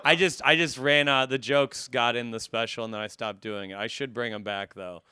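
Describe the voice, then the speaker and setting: A man with a bright, crisp voice in a close, dry recording. He talks casually like a comedian guest on a podcast, tossing out jokes and laughing readily. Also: mid-range voice, steady tone, no echo. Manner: joking, quick to laugh.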